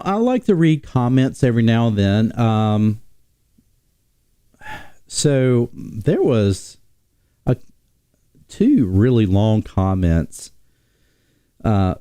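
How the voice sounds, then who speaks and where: A man hosting a casual sports talk stream, sick and nasal, rambling with many pauses and restarts. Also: fairly low pitch, mellow, clean tone, no echo. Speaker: podcast host.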